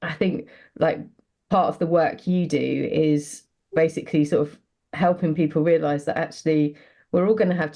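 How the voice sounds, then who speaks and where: A woman's voice: low-pitched, dark tone. A woman hosting a podcast, talking reflectively and hesitantly with many fillers. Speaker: podcast host. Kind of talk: casual conversational interview.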